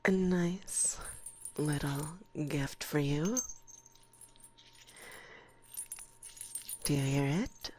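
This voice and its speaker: A woman acts out a long-lived forest witch in an ASMR roleplay, teasing and condescending as a mistress to an apprentice. The voice is low and breathy, often dropping into a whisper. Each phrase comes slowly and deliberately, very close on a binaural microphone. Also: a little mellow. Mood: coaxing, playful.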